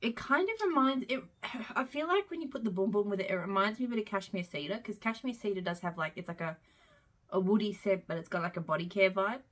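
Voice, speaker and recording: A woman's voice: mid-range voice, fast talker, a bit bright. A woman with a head cold and a congested voice, casually reviewing her used-up wax melts at home in a rambling, chatty way. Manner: rambling, chatty, frequent "um" and side tangents.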